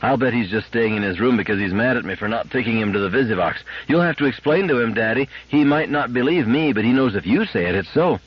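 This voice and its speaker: A man's voice: mid-range voice, expressive, fast talker, hardly any pauses, breathy edge, voice pushed up. A man voicing an eager, trusting little girl full of exclamations and pleading, in an audiobook story. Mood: excited, eager. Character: excited, trusting little girl, bubbly then worried. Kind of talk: audiobook character acting. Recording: dull lo-fi radio-like audio.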